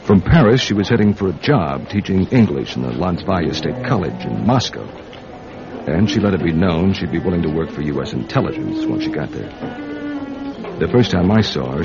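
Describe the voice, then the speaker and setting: A very low-voiced intelligence agent in a radio spy drama, dry and world-weary, with big swings in pitch. He is wry and probing, on a dull recording over music. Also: sing-song, a little fast, vocal fry, full character voice. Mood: wry, brooding. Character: wry world-weary male American intelligence agent narrating his case. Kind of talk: radio drama acting, first-person spy narration.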